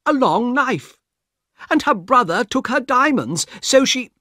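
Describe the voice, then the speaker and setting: A man's voice: extremely high pitch, very animated, unhurried, sharp tone, dry recording, clean studio sound, pitched above the performer's own voice, heavily acted. A man voicing a frightened male train passenger who pleads in a breathless, panicky way. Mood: frantic. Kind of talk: audiobook character voice.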